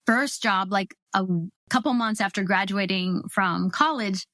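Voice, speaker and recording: A middle-aged woman from Ohio, a guest on an interview show, explaining her ideas in a thoughtful, measured way. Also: medium pitch, bright, forceful tone, no echo. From Ohio, USA. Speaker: guest, cross-cultural consultant. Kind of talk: interview answers.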